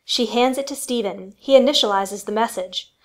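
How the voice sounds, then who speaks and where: A woman's voice: on the high side, normal speed. A woman reading scripted storytelling narration for a family radio play.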